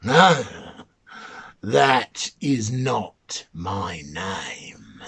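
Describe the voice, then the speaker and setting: A man voicing a gloating, spiteful little mannikin in a storybook reading, with slow, drawn-out, menacing delivery. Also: on the low side, very animated, vocal fry, fairly bright tone, breathy edge, pitched below the performer's own voice, full character voice. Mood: smug, menacing.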